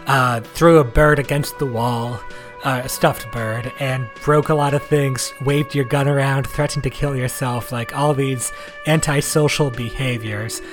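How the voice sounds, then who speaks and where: A man's voice: mid-range voice, fairly even intonation, a little slow. A man co-hosting a podcast, chatting casually about a video game. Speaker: podcast co-host. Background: faint background music.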